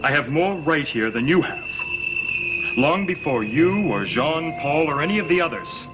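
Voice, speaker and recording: Man acting a sly gothic soap stepson, loud music. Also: fairly high pitch, animated, natural voice, rough recording. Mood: sly, composed. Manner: arch, formal, measured taunting. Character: sly, composed stepson needling his stepfather, gothic soap. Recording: old TV soundtrack under loud music.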